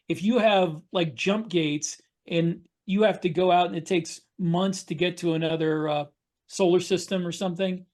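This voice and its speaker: Higher voiced guy on a podcast call, kinda halting as he explains stuff. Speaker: podcast panelist and sci-fi author. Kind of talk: casual panel discussion. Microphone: video call.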